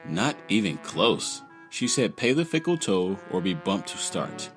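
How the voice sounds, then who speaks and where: A man plays a jaded little cartoon sidekick from a fairy world. His voice is low yet swings freely, full of dry sarcasm, with prominent music underneath. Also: big pitch swings, measured pace. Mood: deadpan teasing. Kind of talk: comic fan-dub voice acting.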